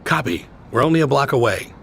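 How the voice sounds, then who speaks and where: A man's voice: mid-range voice, lively intonation, fairly quick, vocal fry, a little hiss or hum. An actor playing a terse, direct male field agent in a video game cutscene. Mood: brisk, steady.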